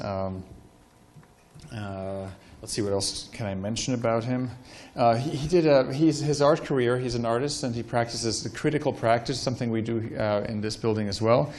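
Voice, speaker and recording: An older man hosting an event in a lecture hall, introducing the next speaker into a handheld mic, halting with many ums and uhs. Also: lowish voice, darkish tone, slight background noise. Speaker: event host.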